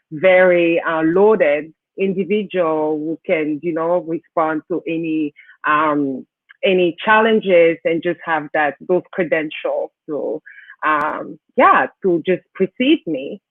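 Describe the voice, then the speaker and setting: Low-voiced woman on a thin, narrow line. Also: slow pace, dry recording. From Cameroon. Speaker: interview guest, financial services professional. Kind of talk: conversational interview answers. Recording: narrow, thin call-like sound.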